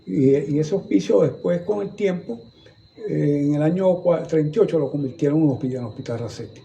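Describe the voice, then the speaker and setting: A man's voice: a little high, fairly quick, vocal fry, dark tone, lo-fi sound, a little hiss or hum. Older Venezuelan man, historian guest, meandering, restart-prone delivery; faint ambience behind. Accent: Venezuelan Spanish. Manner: rambling, repeats and restarts words while recalling. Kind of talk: conversational storytelling in a museum talk. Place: museum gallery, indoors.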